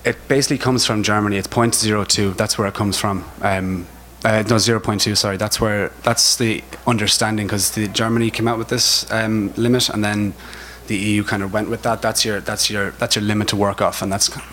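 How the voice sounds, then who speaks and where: Fast-talking Irish man, low voice. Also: flat delivery, a bit bright. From Ireland. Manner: enthusiastic, repeats himself for emphasis. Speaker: CBD retailer on a panel. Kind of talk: informal spoken presentation. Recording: noticeable background noise.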